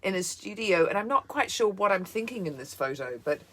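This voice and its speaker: A woman in her fifties from New Zealand with a New Zealand accent, a co-host and former actress telling animated stories in casual chat. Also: medium pitch, fairly quick. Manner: animated, laughing storyteller.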